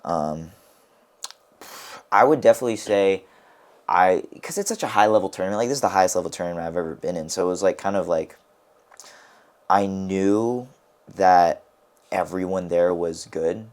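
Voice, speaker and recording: Young man, low voice, casual podcast storyteller, lots of filler words. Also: moderate pace, long pauses, a little mellow. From United States. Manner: rambling storyteller, heavy on "like". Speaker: podcast co-host and wrestler.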